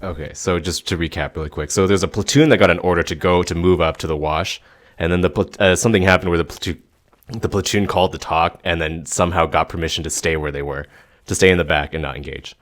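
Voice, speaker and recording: A man with a very low voice speaks as a podcast co-host. He recaps and explains things in plain, casual conversation. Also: fairly even intonation, fast, a bit bright.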